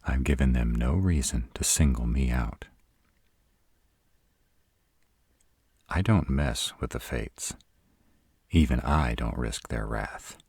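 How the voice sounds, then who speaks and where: A man's voice: very deep voice, a little mellow, breathy edge, dry recording, exaggerated voice. A man playing a sarcastic, proud city-bred vampire in a scripted audio roleplay, with dry, sardonic delivery. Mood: wry, sardonic. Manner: sardonic, dry, rhetorical questioning.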